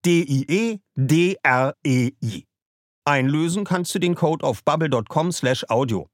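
A man's voice: a little high, measured pace, no room sound, studio quality. A man giving a scripted, upbeat advertisement read. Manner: lively, salesy. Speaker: ad reader.

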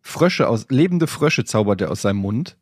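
Podcast co-host, man, husky, dry close sound, wry storyteller. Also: mid-range voice, expressive, moderate pace, no echo. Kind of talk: casual chat.

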